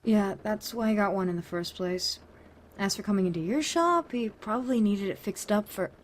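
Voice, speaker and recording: A woman's voice: mid-range voice, moderate pace, slight background noise, close to an everyday voice. A woman playing a wry, teasing, tough-talking woman in a fan audio drama, casual and blunt. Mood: wry, reminiscing.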